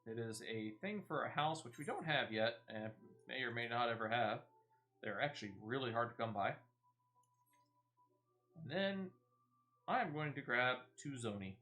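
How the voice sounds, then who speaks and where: A man's voice: medium pitch, expressive, moderate pace, pauses often, sharp tone. A man giving casual, playful gameplay commentary as he streams. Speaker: game streamer. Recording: music playing behind the voice. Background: game music.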